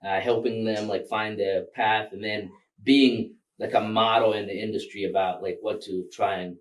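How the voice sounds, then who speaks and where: A man's voice: on the low side, fairly even intonation, normal speed, recorded from a distance, poor audio. A man from Rwanda, Kinyarwanda his first language, talking loosely as a filmmaker guest with many fillers and laughs. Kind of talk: casual interview.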